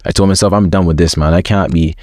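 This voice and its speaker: Young man, very low voice, earnest casual chat, dry recording. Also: fairly even intonation, fast, a little mellow, no echo. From school system with forms. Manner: slangy, repeats words, open and earnest. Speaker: interview guest.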